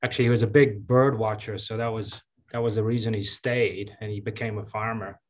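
A man in his fifties from Zambia, a county engineer giving relaxed, chatty interview answers with many fillers and restarts. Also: fairly low pitch, brisk. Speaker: county engineer and public works director.

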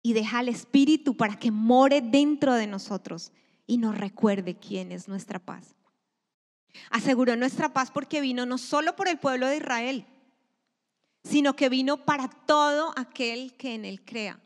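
A woman preaching a sermon to a church congregation. She speaks emphatically, swelling loud and then dropping to a near-whisper. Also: on the high side, a little slow. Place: church sanctuary. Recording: long hall reverb.

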